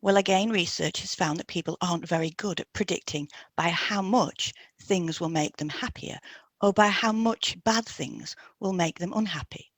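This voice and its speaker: A woman reads educational narration from a script as a co-host. Her pitch is a touch low and her tone is breathy and husky. The sound is dry, with no room around it. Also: normal speed, no room sound. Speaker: podcast co-host and hypnotherapist.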